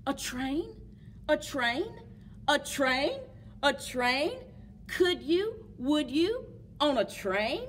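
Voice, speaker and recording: Storybook pest read by a woman: eager, coaxing, high lively pitch, clear room noise. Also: drawn-out words, pauses often, heavily acted. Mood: eager insisting. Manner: coaxing, insistent questioning. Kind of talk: rhyming picture-book read-aloud. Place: public library children's book corner.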